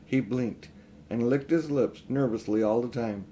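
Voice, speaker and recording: A man's voice: medium pitch, a little slow, warm tone, slight background noise. An older man reading a children's story aloud in an informal way. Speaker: audiobook reader.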